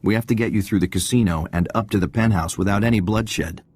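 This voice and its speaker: An actor playing a confident, wry military intelligence agent giving a mission briefing in a video game. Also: male voice, fairly low pitch, fast, darkish tone, breathy edge. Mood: assured briefing. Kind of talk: video game cutscene dialogue.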